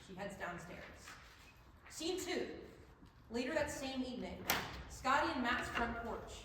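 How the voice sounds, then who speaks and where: A woman reads scripted stage directions from a distance in a very echoey hall, with an average-pitched voice and faint background noise. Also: animated, measured pace. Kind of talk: scripted narration.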